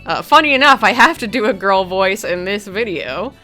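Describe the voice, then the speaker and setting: Woman gamer vlogger, chill and low-key, bright tone. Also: moderate pace, faint music behind. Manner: chill, somewhat monotone. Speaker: competitive gamer and content creator. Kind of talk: casual vlog.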